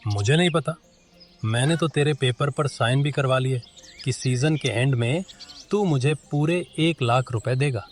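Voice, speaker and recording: A man plays a greedy cartoon landlord from the village. He is brusque and demanding, pushing people around with threats in a mid-pitched voice, with light music underneath. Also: a little slow, lightly acted. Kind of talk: Hindi animated moral story dubbing.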